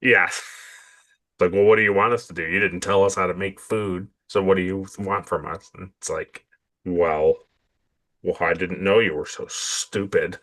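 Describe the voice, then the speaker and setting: A man's voice: deep voice, steady tone, normal speed, a bit bright. A man co-hosting a casual episode review podcast, laughing often and retelling scenes with quoted lines. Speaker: podcast co-host.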